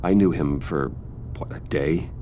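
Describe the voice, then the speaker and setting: A man's voice: rumbling low, a little slow, mellow, a little hiss or hum. An actor playing a guarded, serious male vigilante in a video game, terse and dry. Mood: guarded, defensive. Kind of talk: naturalistic video game acting.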